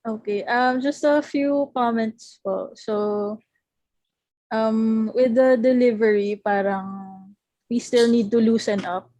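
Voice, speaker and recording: A woman's voice: on the high side, fairly even intonation, slow, warm tone, no room sound, poor audio. A young adult woman with a Filipino accent giving casual feedback in Taglish, polite and hesitant as a director. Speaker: director giving notes at a table read. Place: video call.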